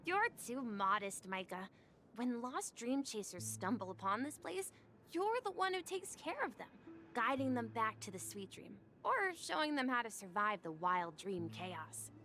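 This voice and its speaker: A woman playing an assured, admiring resident of a refuge in a video game, with an expressive, complimentary delivery. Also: fairly high pitch, a little slow, heavily acted. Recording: faint ambient noise behind.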